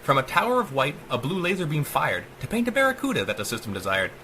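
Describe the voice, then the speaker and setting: Male narrator, theatrical verse delivery, lively pitch swings, bright forceful tone, faintly noisy recording. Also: fast talker. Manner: theatrical, sing-song verse cadence. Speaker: author reading own story. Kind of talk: dramatic rhymed audiobook narration.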